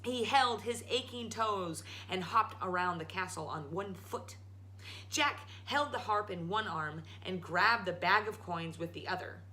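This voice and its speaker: A woman storyteller reading a children's story aloud in a dramatic, emphatic, expressive way. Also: medium pitch, measured pace, poor audio. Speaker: storyteller, show host.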